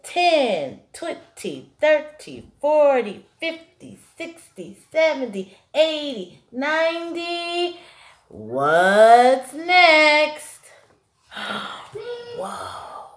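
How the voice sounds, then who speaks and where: A young woman reading a children's picture book aloud in an animated, emphatic way, as a teacher would to small children. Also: sits high, extremely slow, roomy sound. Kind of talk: expressive children's storybook read-aloud. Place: small classroom-like room, indoors.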